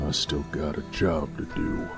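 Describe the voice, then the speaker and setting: A man playing a gruff, cocky werewolf bounty hunter in a Western audio roleplay, drawling in an American Southern accent with sardonic taunts. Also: rumbling low, level tone, moderate pace, over music. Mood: defiant, sardonic. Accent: American Southern drawl, Western style.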